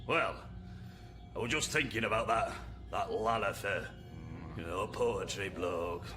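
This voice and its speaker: A man's voice: fairly low pitch, a little slow, sharp tone. A man acting a matey, blustering salesman with a Northern English accent in a radio comedy, full of bluff, hesitant patter. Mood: chummy, pondering. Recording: music under the voice.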